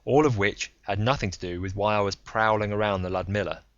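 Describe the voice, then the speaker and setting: A man's voice: on the low side, a little fast, no room sound, lo-fi sound. A man reading a first-person story aloud as scripted audiobook narration. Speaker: audiobook reader.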